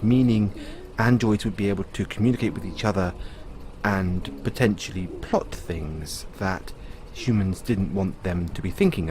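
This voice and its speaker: A man playing a patient owner talking to his android in an audio roleplay, explaining in a musing way with pauses. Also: on the low side, darkish tone, a little hiss or hum, natural voice. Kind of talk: audio roleplay, conversational storytelling.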